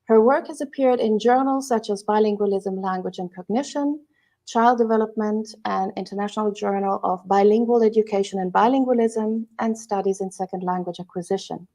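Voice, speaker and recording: A woman co-hosting a live online interview, introducing the guest and asking questions in a measured way. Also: medium pitch, steady tone, mellow. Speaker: co-host.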